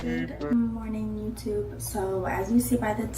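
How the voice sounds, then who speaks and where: A woman truck driver vlogging to camera, chatty and rambling, with a raspy voice from being sick. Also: medium pitch, steady tone, a little slow, roomy sound, over loud music. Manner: chatty, rambling, says y'all. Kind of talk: casual vlog talking to camera. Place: in and around her truck.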